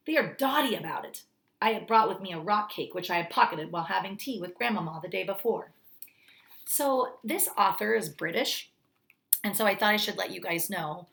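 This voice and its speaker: Female storybook reader, mid-pitched, expressive teacherly delivery for young listeners. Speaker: teacher reading a storybook aloud. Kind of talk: children's story read-aloud with brief asides.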